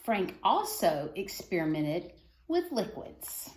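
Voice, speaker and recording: A woman's voice: on the low side, big pitch swings, slow, a little mellow, echoey room, a little hiss or hum, low-quality recording. A woman reading a children's story aloud for schoolchildren, phrasing expressively and stressing key words. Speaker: reader of a children's book.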